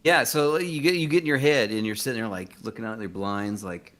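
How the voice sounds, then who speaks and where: A man chatting casually, rambling and halting as he hunts for his words. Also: fairly low pitch, fairly bright tone. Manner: halting, rambling, filler-heavy. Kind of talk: casual chat.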